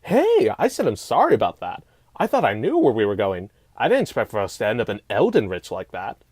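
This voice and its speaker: A woman performs a cheerful teenage boy who loves adventure, in an amateur script read. The delivery is upbeat and theatrical, with huge leaps in pitch. The sound is close and dry. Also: huge pitch swings, brisk, darkish tone, slightly rough, no echo, full character voice. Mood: breezy. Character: cheerful, mysterious teen boy, eager adventure leader.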